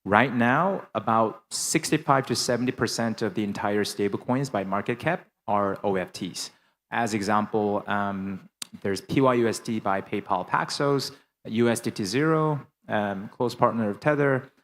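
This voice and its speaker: Male panelist with a lowish voice, smooth business pitch peppered with ums. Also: normal speed, a bit bright. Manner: fluent business talk with frequent fillers. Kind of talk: conference panel introduction. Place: conference hall panel.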